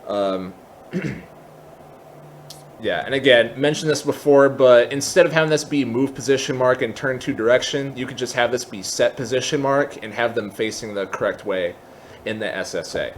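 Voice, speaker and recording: A man hosting an informal software tutorial, explaining things step by step with conversational asides. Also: mid-range voice, slight background noise. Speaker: tutorial host.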